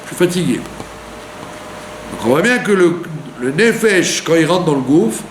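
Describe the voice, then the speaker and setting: Animated rabbi teaching in an echoey room. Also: male voice, on the high side, a little slow. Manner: animated teaching, with rhetorical questions and frequent "d'accord?". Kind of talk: Torah lesson sermon. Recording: echoey room with faint hum.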